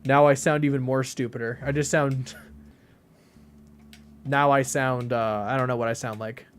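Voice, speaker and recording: A young man in his late teens streaming games and chatting casually, with a deadpan delivery and lots of rambling fillers. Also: medium pitch, normal speed, slight background noise. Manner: deadpan, flat delivery even when joking; rambling, many fillers and restarts. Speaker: game streamer. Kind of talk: casual live-stream chat.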